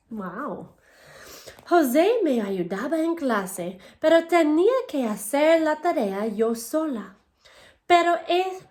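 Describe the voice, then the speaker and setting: Bright-voiced teacher reading aloud. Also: female voice, sits high. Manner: expressive storytelling, asks children questions; alternates English and Spanish readings. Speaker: elementary school teacher. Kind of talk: bilingual picture-book read-aloud. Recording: echoey room.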